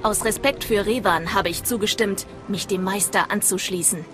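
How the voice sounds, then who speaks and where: Actress as female mercenary leader, honour-bound, formal, game cutscene, clear background music. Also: medium pitch, moderate pace, natural voice. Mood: proud, firm. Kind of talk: video game cutscene acting.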